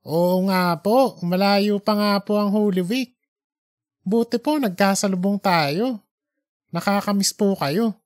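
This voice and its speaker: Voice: medium pitch, takes it slowly, natural voice. A harried male reporter of thirty, voiced by the narrator in an audiobook story. He talks earnestly, with a polite, deferential way toward his elders. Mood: polite, pleased.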